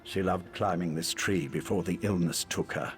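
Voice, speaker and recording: A man playing a grieving, bitter elf father and former cultist in a video game, speaking in a measured, earnest way. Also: sits low, steady tone, soft background music, close to an everyday voice. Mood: wistful grief.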